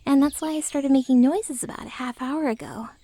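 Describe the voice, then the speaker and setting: A woman voicing a friendly, naive, excitable dragon in humanoid form, chatty and playful in a soft ASMR roleplay style. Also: high-pitched, a little fast. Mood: playful, curious. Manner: chatty, playful, casually blunt about eating people.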